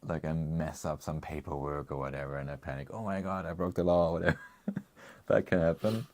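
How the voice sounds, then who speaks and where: A man's voice: a bass voice, steady tone, a little fast, a little mellow. A man co-hosting a casual chat, reading viewer comments, rambling with frequent chuckles. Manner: rambling, chuckles often, restarts. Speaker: co-host.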